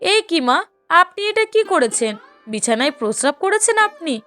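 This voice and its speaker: A woman's voice: high-pitched, big pitch swings, moderate pace, sharp tone, soft background music, voice pushed up, heavily acted. A woman voicing a cruel, bossy young daughter-in-law in a cartoon story, scolding and complaining sharply. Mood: angry scolding. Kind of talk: cartoon moral story voice acting.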